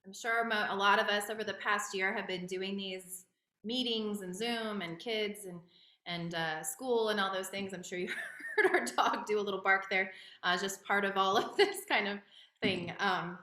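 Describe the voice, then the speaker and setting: A woman's voice: mid-range voice, normal speed, bright, forceful tone, roomy sound. A middle-aged woman informally hosting a virtual event from home in a casual, conversational way. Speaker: event host.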